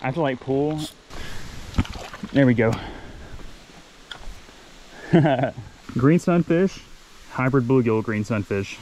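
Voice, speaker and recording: A man hosting a fishing vlog outdoors by a waterfall creek, chatty and excited, laughing often as he talks from a head-mounted camera. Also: mid-range voice, slow pace, long pauses, a little hiss or hum, low-quality recording. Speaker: fishing channel host. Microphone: head-mounted camera mic.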